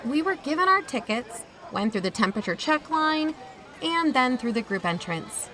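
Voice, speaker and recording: A woman's voice: sits high, big pitch swings, moderate pace, bright, forceful tone, exaggerated voice, poor audio. A woman playing an uneasy, wry adult chaperone who narrates her own account in a confiding way for an audio drama. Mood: wry, nostalgic. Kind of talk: first-person scripted narration.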